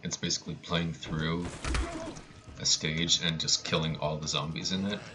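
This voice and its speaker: A man's voice: extremely low pitch, level tone, normal speed, noisy background. A man giving casual live commentary on his own video game speedrun, with playful, joking asides.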